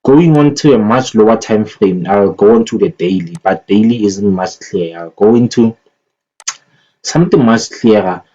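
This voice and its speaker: A man's voice: lowish voice, normal speed, dark tone. A man giving casual, unscripted commentary as a market analyst, thinking aloud and often repeating phrases as he goes. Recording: dull lo-fi microphone sound.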